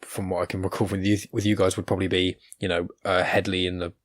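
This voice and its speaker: A man hosts a relaxed interview in a dry, close sound. His speech runs fast and rambles from one half-built question to the next. The voice sits a little low. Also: no room sound. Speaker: podcast host interviewing guests. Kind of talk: casual conversational interview.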